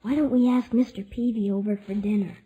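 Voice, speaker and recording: A woman's voice: mid-range voice, fairly even intonation, a little fast, warm tone, lo-fi sound, close to an everyday voice. A woman playing an earnest, inquisitive, polite little boy in 1950s radio comedy, blunt and innocent in manner.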